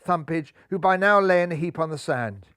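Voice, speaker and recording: A man's voice: a little fast, clear, resonant tone, dry recording. Older man reading a storybook. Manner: lively, raises pitch and volume for characters' lines. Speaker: storybook reader. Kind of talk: reading a children's picture book aloud. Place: living room, seated in armchair.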